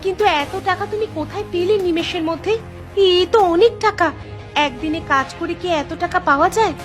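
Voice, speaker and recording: A woman's voice: very high voice, moderate pace, faint music behind. A woman playing a stern, suspicious village wife in a cartoon, questioning and nagging with emphasis. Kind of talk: Bengali cartoon dialogue dub.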